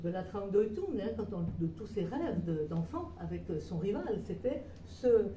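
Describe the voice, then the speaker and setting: A woman speaks as the guest at a book talk, telling her story in a rambling, hesitant way with many restarts. Her voice is deeply mellow and dark. It is recorded from a distance in a roomy space with a little background hum. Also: sing-song, normal speed. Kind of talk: informal book talk to a small audience. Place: meeting room with a live audience. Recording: roomy echo, voice far from mic.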